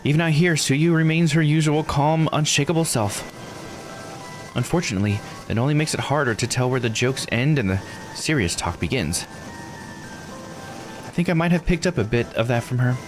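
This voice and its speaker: A man's voice: mid-range voice, moderate pace, soft background music, voice pushed up, close to an everyday voice. A man voicing an earnest, curious young woman who is the polite heroine of a visual novel, speaking expressively. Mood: reflective. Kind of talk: visual novel character read aloud.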